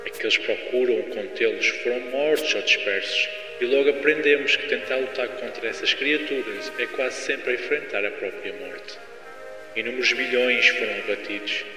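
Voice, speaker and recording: A man's voice: medium pitch, lightly acted. Man acting a grandiose doomsday announcer in cavernous reverb. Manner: grandiose, theatrical, portentous. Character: solemn male announcer on an apocalyptic survivors' recording. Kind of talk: dramatic scripted monologue. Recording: long reverberant echo, music underneath. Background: prominent music.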